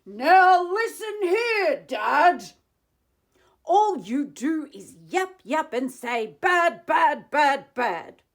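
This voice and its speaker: A woman voicing an angry, boastful, greedy male factory owner in a storybook, yelling defiantly and emphatically. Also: sits high, big pitch swings, extremely slow, pitched above the performer's own voice, heavily acted. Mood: furious yelling.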